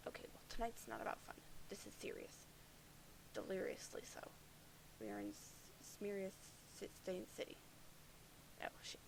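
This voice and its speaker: A woman's voice: mid-range voice, vocal fry, exaggerated voice, low-quality recording. A woman voicing a bitter, foul-mouthed alien troll boy who sees himself as a prophet of doom, sardonic and defensive.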